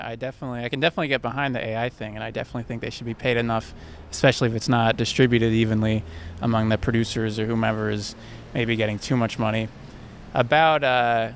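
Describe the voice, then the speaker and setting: A young man answering a street interviewer outdoors, thinking aloud and restarting his sentences. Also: medium pitch. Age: young adult. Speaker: passerby. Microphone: handheld mic held by interviewer. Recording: faint street noise behind.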